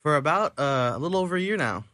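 A man's voice: a little high, expressive, fast talker, pushed and bright, no room sound, clean studio sound. Young male singer as a radio guest. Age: early 20s. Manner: brief and good-humored. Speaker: leader of a vocal quartet. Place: radio studio. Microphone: studio microphone.

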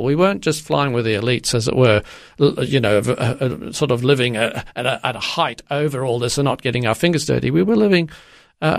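An older man from Zimbabwe answering interview questions in a halting way, with many restarts and fillers. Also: on the high side, creaky, no echo, clean studio sound. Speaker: guest.

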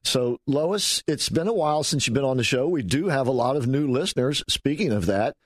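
A man's voice: medium pitch, lively intonation, fairly quick, no room sound. Affable male radio host, dry sound. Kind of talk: radio interview hosting.

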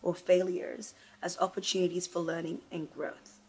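A woman's voice: lowish voice, little pitch movement, moderate pace, darkish tone, slightly rough, poor audio. A woman hosting a live interview, speaking casually and conversationally. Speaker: guest host, interviewer.

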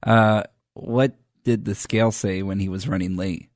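A man's voice: fairly low pitch, steady tone, moderate pace, a little mellow, dry recording. Stammering joking guy in the studio. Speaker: radio show producer. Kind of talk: casual studio banter.

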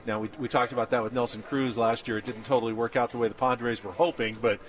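A man's voice: mid-range voice, fairly even intonation, quick pace. A man with an American accent, hosting a conversational sports radio show and asking questions. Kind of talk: conversational sports talk and interviewing. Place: on location at a baseball spring training camp.

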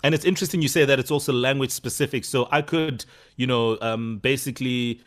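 A man's voice: mid-range voice, fairly quick, a bit bright. Easygoing male talk host. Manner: conversational, affirming, many fillers. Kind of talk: casual interview chat.

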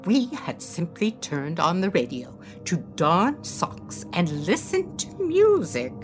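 A woman's voice: fairly low pitch, wildly swooping pitch, takes it slowly, soft background music, heavily acted. An actress playing a wistful woman narrating her memories in a radio drama. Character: woman reminiscing wistfully about a frightening radio night.

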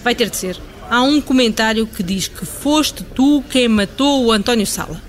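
Actress as firm newsroom boss, noisy background. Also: female voice, on the high side, normal speed, natural voice. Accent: European Portuguese. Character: businesslike, unbending female newsroom boss. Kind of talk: comedy radio play acting.

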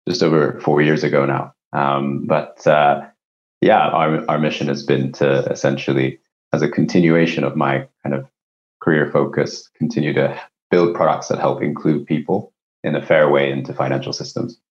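A man with an extremely low voice answers as an interview guest in a conversational, explanatory manner. He is a company executive from Japan, and the recording sounds slightly dull and lo-fi. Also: flat delivery, normal speed. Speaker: company CEO, podcast guest.

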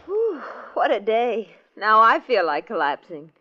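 A woman's voice: on the high side, sing-song, a little slow. An actress playing a chirpy young female secretary in 1940s radio acting. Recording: muffled 1940s radio broadcast.

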